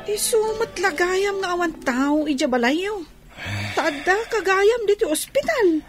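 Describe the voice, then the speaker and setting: Defiant young daughter, very high voice, whiny, emotional, radio drama, background music. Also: female voice, animated, measured pace. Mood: worried. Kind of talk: Filipino radio drama acting. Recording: music behind the voice.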